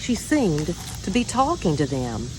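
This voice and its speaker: Female storybook reader, slightly low register, measured and expressive delivery, deliberate pauses, faint noise behind. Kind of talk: scripted children's story reading.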